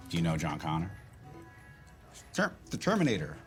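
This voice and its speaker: Awkward young guy, low voice, hesitant, naturalistic film dialogue, light music underneath. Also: huge pitch swings, heavily acted. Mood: awkward, earnest. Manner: halting, hesitant. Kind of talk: naturalistic screen acting.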